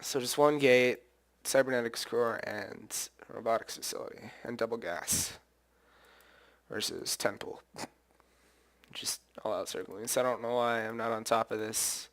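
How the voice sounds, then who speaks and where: A man giving casual, rambling commentary over his own game, thinking aloud and correcting himself, his voice slightly hoarse from singing. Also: mid-range voice, measured pace, fairly bright tone. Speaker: gameplay commentator.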